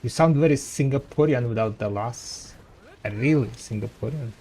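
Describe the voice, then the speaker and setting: A man whose first language is Russian, with a light Russian accent, chatting with viewers as a game streamer and trailing off in halting restarts. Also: mid-range voice, warm tone, low-quality recording. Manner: rambling, halting, many restarts. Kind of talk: casual chat with viewers.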